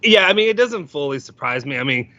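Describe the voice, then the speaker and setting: A man hosting a casual sports podcast, loud-voiced and rambling, with lots of fillers and restarts. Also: fairly high pitch, animated, brisk, dry recording, highs cut off. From United States. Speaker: podcast host.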